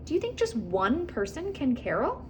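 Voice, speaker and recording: A woman's voice: high voice, huge pitch swings, normal speed, pitched above the performer's own voice. An eager, joyful young girl from a storybook, played by a woman, with a lifted, lilting voice that rises and falls freely. The recording has noticeable noise. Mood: wistful, determined.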